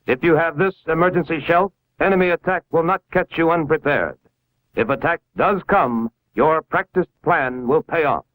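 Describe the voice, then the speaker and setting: A man's voice: a little high, fairly bright tone, dry recording, poor audio. An American man narrating a scripted 1950s civil defense film in a formal, authoritative, instructional manner, on a vintage film soundtrack. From United States.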